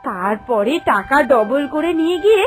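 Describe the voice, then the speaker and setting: A woman voicing a melodramatic, excitable young wife in a cartoon, with an emphatic, plaintive delivery that repeats phrases. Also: a little high, normal speed, soft background music. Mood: excited, bossy. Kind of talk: dramatic cartoon voice acting.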